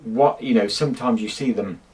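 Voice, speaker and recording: A man's voice: mid-range voice, normal speed, a little mellow, distant mic, low-quality recording. A middle-aged man, casually talking about old tape recordings, rambling with many ums and restarts. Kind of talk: casual chat to camera.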